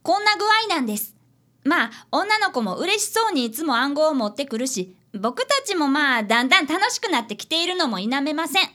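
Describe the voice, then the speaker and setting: A woman's voice: high-pitched, sing-song, fairly quick, bright, forceful tone, heavily acted. A woman playing an earnest, polite grade-school boy apprentice detective with a Kansai accent in a radio drama, both narrating and in dialogue. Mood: earnest explaining. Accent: Kansai Japanese.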